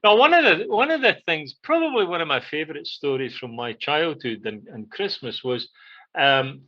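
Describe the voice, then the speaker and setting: A middle-aged or older man from Scotland with a Scottish accent, reminiscing casually as a podcast co-host in a halting, rambling way. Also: a little high, animated, sharp tone. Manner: rambling, halting, frequent "you know". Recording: dull, lo-fi band-limited audio.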